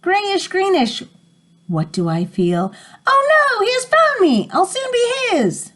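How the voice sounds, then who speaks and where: A woman's voice: very high-pitched, huge pitch swings, slow pace, fairly bright tone, voice pushed up, heavily acted. A woman voicing a nervous, easily panicked little tree frog reading rhyming storybook verse with dramatic exclamations.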